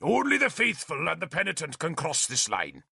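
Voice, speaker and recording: A man reading an audiobook voices a fanatical, wrathful bishop who booms his denunciations like a preacher, in a gravelly voice. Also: huge pitch swings, normal speed, sharp tone, dry recording, studio quality, heavily acted. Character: fanatical middle-aged male bishop, booming and wrathful.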